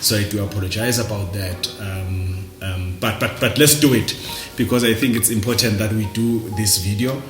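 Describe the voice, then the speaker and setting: Low-voiced South African man, echoey room. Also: fairly even intonation, music in the background. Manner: halting, repeats words. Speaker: vlogger. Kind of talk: casual reflective talk to camera. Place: indoors, dim room at night.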